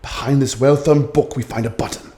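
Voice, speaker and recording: A man playing a brilliant detective who gives crisp, commanding explanations and grows excitable in an audio drama. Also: mid-range voice, fairly quick, close to an everyday voice. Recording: echoey room.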